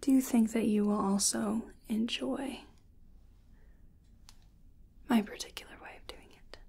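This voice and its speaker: A woman speaking softly in a breathy whisper, gentle and unhurried, role-playing a reiki practitioner in an ASMR personal attention style. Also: fairly high pitch, fairly even intonation, a little hiss or hum. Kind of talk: soft-spoken ASMR personal attention roleplay.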